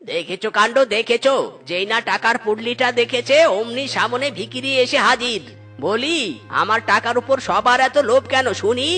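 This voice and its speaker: A man's voice: very high voice, moderate pace, bright, forceful tone, heavily acted. A man voicing a miserly, suspicious, irritable old man in a comic cartoon, exclaiming and grumbling to himself. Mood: suspicious, annoyed. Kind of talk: Bengali comic cartoon dialogue. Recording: music bed behind the voice.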